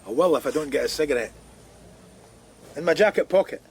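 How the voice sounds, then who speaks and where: A blunt Scottish police detective for radio drama. He is terse and dryly sardonic, with a somewhat high voice that has a husky edge. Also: animated, a little fast, heavily acted. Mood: gruff.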